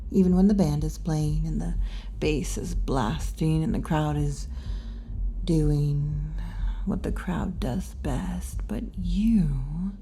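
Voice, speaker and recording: A woman voicing a brash yet kindly tomboy wolf girl bartender in an intimate, unhurried ASMR roleplay, often dropping toward a whisper. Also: low-pitched, warm tone, slight background noise. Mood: wistful, gentle. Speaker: bartender character in audio roleplay.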